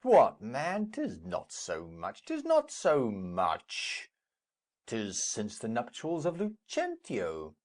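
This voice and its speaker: Blustering old lord, swooping wildly. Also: male voice, huge pitch swings, slow pace. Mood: amused, disputing. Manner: booming, declamatory, swooping. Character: jovial, blustering old lord hosting a feast. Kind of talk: theatrical Shakespearean acting.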